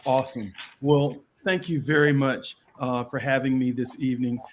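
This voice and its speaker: A man's voice: medium pitch, steady tone, moderate pace, a little hiss or hum. A man who is a political candidate, speaking as an interview guest in an upbeat, colorful way. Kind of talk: interview answer.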